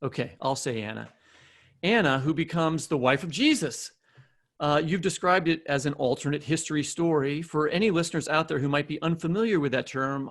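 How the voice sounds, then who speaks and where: An American man with a slightly high voice works as a podcast co-host. He introduces and interviews in a conversational style, addressing listeners directly.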